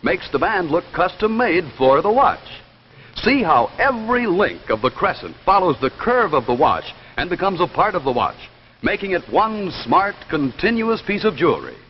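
A man's voice: high-pitched, very animated, normal speed, in a large hall, a little hiss or hum. A young man giving a polished, salesmanlike scripted announcement in the style of 1950s television advertising. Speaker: announcer and commercial pitchman. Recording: dull 1950s television soundtrack with music.

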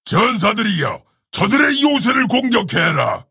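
An actor playing a proud, honorable orc warrior shaman in a video game, speaking in a gravelly, husky, solemn and commanding way. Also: sits high, wildly swooping pitch, a little slow, bright, forceful tone, no echo, raised voice, studio quality, exaggerated voice. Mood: urgent battle commands. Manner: solemn, commanding, archaic formal phrasing. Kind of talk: video game character battle lines.

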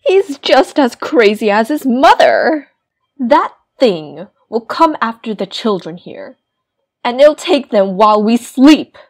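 A woman's voice: fairly high pitch, big pitch swings, slow, heavily acted. A woman acting a scheming, vindictive young villainess in an audio drama, smug and commanding. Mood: commanding, menacing. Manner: commanding, coolly menacing.

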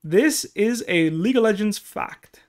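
A man's voice: high voice, expressive, unhurried. A young man whose first language is Dutch, coaching on a casual stream from a headset at his desk, explaining step by step with many okays. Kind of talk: casual stream talk, answering chat. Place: small room indoors at a desk.

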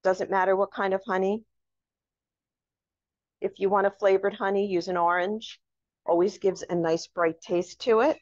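A woman's voice: mid-range voice, flat delivery, normal speed, long pauses. A woman hosting a casual cooking demonstration, chatty and instructive as she explains each step. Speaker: cooking show host. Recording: echoey room, dull lo-fi sound.

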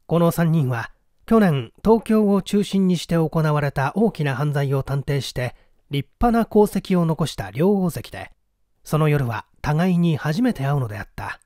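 A man's voice: on the high side, lively intonation, unhurried, a little mellow, no echo, clean studio sound. A man reading a story aloud as a dramatic scripted narration. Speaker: audiobook reader.

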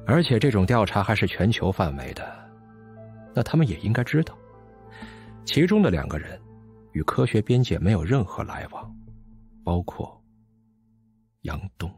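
An actor playing a brooding, scornful physicist in mourning, with a sighing, world-weary manner, in an audio drama. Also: male voice, fairly low pitch, big pitch swings, moderate pace, long pauses, a little mellow, faint music behind. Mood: scornful, grave.

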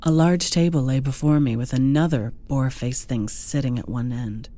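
A narrator reading a scripted first-person fantasy story in a hushed, dramatic, deliberate manner. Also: medium pitch. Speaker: audiobook narrator.

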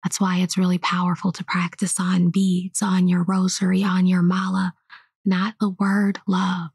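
A woman whispering a slow guided meditation and spiritual teaching in a soft, hushed voice. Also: fairly low pitch, husky, dry recording.

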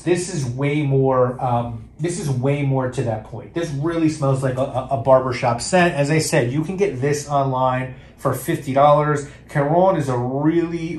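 A man talks through fragrances in an easygoing, rambling way, full of fillers and restarts. His voice sits a bit high. The room around him is echoey, with a faint hum of background noise. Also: darkish tone. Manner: chatty, hesitant, many fillers and restarts. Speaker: fragrance reviewer. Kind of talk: casual product review.

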